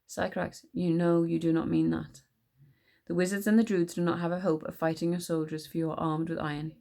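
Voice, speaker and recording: A woman's voice: lowish voice, little pitch movement, brisk, gravelly, warm tone. A woman voicing an anxious storybook adviser who reasons and pleads for peace. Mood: reasoning.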